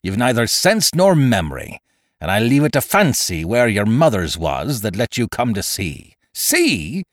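A man acts out a sly, menacing pirate sea cook from an adventure storybook. His rustic sailor talk swings dramatically in pitch and takes its time, full of contempt and command. The sound is close and dry. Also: huge pitch swings, unhurried, dry recording. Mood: scornful, bitter. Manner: rustic old-time sailor speech with theatrical emphasis. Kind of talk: dramatised audiobook reading of a classic adventure story.

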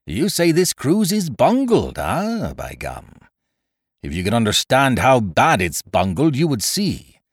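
A man acts out a sly, menacing pirate sea cook from an adventure storybook. His rustic sailor talk swings dramatically in pitch and takes its time, full of contempt and command. The sound is close and dry. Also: wildly swooping pitch, measured pace, slightly rough, no echo, full character voice. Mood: scornful, bitter. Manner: rustic old-time sailor speech with theatrical emphasis. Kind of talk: dramatised audiobook reading of a classic adventure story.

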